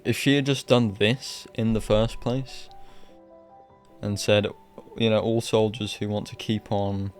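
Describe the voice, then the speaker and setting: A man with a lowish voice gives casual live commentary as he streams a game. He rambles in an offhand, slangy manner, with some game music faintly behind him. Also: a little mellow.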